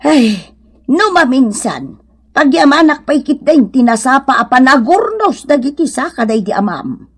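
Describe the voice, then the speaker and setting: A woman's voice: high voice, big pitch swings, normal speed, breathy edge, exaggerated voice. A woman playing a fretful, indulgent elderly mother in a comedy radio drama, with an Ilocano accent. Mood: worried, chiding.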